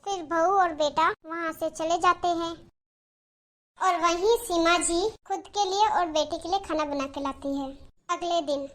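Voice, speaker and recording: A woman's voice: very high-pitched, fairly even intonation, measured pace, fairly bright tone. A woman narrating a scripted moral story as a storyteller.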